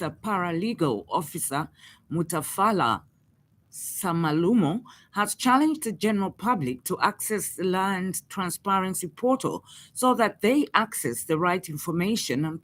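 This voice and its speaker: A Zambian woman presents a radio news bulletin in a fairly low voice. She reads from a script in a formal, measured way, with a Zambian accent. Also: lively intonation.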